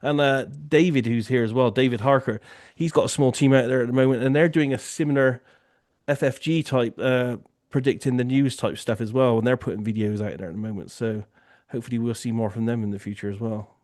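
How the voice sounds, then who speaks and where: A man's voice: medium pitch, fairly even intonation, brisk, darkish tone. A man hosting an online question-and-answer session, talking loosely with many "you know" fillers. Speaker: remote viewing tasker and session host. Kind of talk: casual online Q&A discussion.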